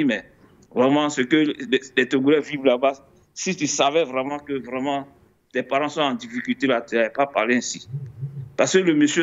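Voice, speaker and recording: A man's voice: mid-range voice, highs cut off. A man commenting in a radio debate, talking in a loose, rambling way and addressing others familiarly. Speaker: panelist.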